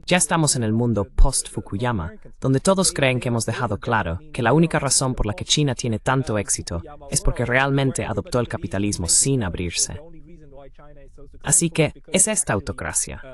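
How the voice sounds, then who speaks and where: A man speaks as the translation voice for an interviewer. He delivers commentary and questions at an ordinary middle pitch, in a voice-over style. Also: lively intonation, normal speed.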